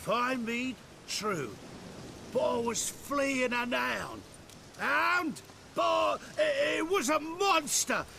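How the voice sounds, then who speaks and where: An actor playing a cowardly, tipsy fire-eater jester who stammers nervously. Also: male voice, very high voice, extremely slow, vocal fry, exaggerated voice. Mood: shaken. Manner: jittery, stammering, fearful. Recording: game audio with steady hiss.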